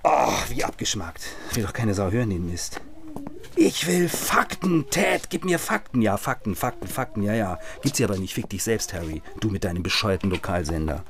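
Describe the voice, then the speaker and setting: A man's voice: lowish voice, sing-song, normal speed, gravelly, noisy background. A man acting in a German radio drama as a cynical, hot-tempered radio reporter in his thirties, pompous and given to coarse cursing. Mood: cynical, muttering. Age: 30s.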